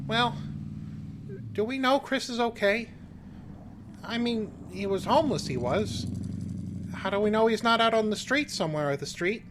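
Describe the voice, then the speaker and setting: A man's voice: high-pitched, moderate pace, long pauses, natural voice. Man doing an earnest anxious male sidekick, hesitant stammer, folksy, radio play, faint noise behind. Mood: worried.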